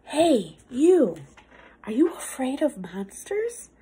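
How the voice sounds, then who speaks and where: High-voiced, very animated woman reading a storybook. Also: slight background noise. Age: young adult. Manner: theatrical, expressive, playful, with drawn-out words and hushed asides. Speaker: teacher reading a picture book aloud. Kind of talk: animated storybook read-aloud for children. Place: small office room indoors.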